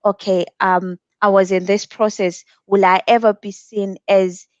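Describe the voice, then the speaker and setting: A young woman from Zimbabwe giving earnest, measured reflections as a guest speaker at an online event, pausing between thoughts. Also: medium pitch, flat delivery, dry recording. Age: young adult. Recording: dull, dry lo-fi sound.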